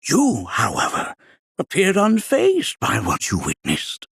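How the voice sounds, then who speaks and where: An actor voicing a wise, kindly old scholar in a video game, with a raspy, aged voice and a ponderous, formal manner. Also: male voice, sits high, huge pitch swings, takes it slowly, fairly bright tone, dry recording, clean studio sound, exaggerated voice. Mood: philosophical, grave. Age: older. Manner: ponderous, formal, archaic storyteller phrasing. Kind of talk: video game character voice acting.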